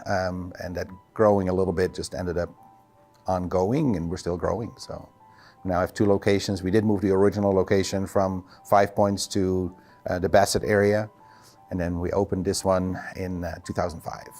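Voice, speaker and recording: A man's voice: sits low, flat delivery, moderate pace, a little mellow, faint music behind. A middle-aged man from the Netherlands with a Dutch accent, a native Dutch speaker, casually answering interview questions as a physical therapist and clinic owner.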